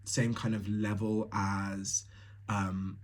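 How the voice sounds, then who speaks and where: A man's voice: fairly low pitch, little pitch movement, takes it slowly. A young man from Tanzania hosting a solo podcast, talking casually and bluntly, laughing often with lots of fillers. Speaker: podcast host.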